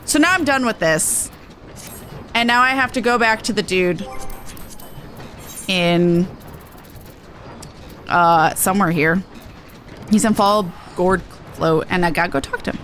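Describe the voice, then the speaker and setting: A woman's voice: medium pitch, unhurried, pauses often, fairly bright tone, slight background noise. A woman game streamer giving a casual walkthrough in a chatty, slangy, informal way. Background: game music.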